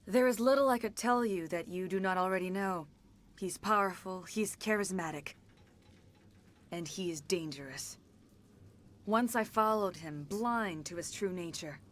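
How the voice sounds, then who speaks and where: An actress plays a centuries-old alien woman in a video game drama. Her voice sits at a medium pitch. She phrases everything formally and gravely, with a serene, remorseful dignity. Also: measured pace, fairly bright tone, natural voice. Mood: grave, regretful.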